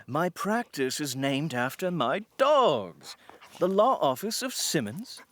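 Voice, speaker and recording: A man's voice: a little high, big pitch swings, slow. A man playing a courtly, fussy, dog-doting lawyer in a comedy audio drama, with florid, formal diction. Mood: doting, gushing. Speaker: voice actor.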